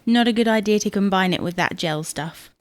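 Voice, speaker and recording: A brisk, no-nonsense middle-aged wife, played by an actress, mothers and scolds her husband in a domestic audio comedy. Her pitch is average for a woman, and the sound is dry, with no room to it. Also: dry recording, natural voice. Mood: brisk, caring.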